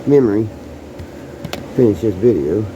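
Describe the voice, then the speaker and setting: A man with a fairly low voice talks casually through a hands-on hobby demonstration. He is informal and folksy, thinking aloud as he works. A clearly audible ambient hum sits behind him on the camera's microphone. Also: expressive, measured pace, highs cut off. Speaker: hobbyist showing restored vintage radios. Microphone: handheld camera, sometimes set down farther away. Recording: loud background hum and ambient noise.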